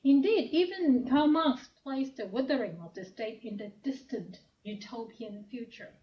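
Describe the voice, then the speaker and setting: Soft-spoken Hong Kong woman lecturing. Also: fairly high pitch, muffled recording, a little hiss or hum. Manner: soft-spoken, hesitant with fillers. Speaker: academic speaker. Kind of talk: academic lecture. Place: lecture room.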